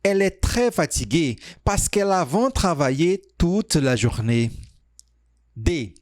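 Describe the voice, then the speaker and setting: Man, French teacher, slow careful quiz reading, animated pitch, dry close sound. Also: no room sound. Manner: reads sentences slowly, stretched out, pauses on options.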